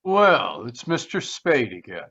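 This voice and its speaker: A man acts an evasive, formal gentleman from an old radio mystery, with a medium-pitched voice. He is wordy and dismissive, fending off questions with polished politeness. The sound is slightly dull, like a video call. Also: big pitch swings, takes it slowly, gravelly, slightly rough, full character voice. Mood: guarded politeness. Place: remote live video call performance.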